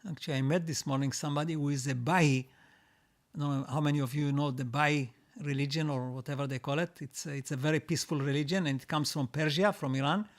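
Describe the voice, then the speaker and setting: A man hosting a live stream, reading out viewer comments and answering them in a halting way, with many restarts and repeated words. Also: a little high, steady tone. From Israel. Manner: reads out comments, then answers.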